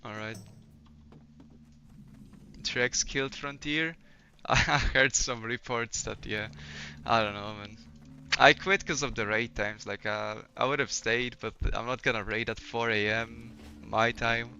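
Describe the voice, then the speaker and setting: A man's voice: mid-range voice, fairly even intonation, a little slow, pushed and bright. A young man from Poland streaming a game, chatting casually with viewers, laid-back and swearing often. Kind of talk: casual live-stream chat while gaming. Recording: slightly dull lo-fi stream audio.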